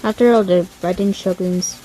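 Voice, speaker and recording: A woman doing casual playthrough commentary and reading game dialogue aloud, a little halting. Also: on the low side, steady tone, low-quality recording. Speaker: gaming video creator. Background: game music.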